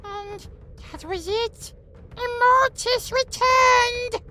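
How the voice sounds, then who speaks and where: A performer voicing a small, childlike sprite in a fantasy audio drama, telling a tale earnestly and haltingly. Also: very high-pitched, pushed and bright, soft background music, full character voice. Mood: earnest, solemn. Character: small, childlike sprite lord giving solemn testimony. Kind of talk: fantasy audio roleplay acting.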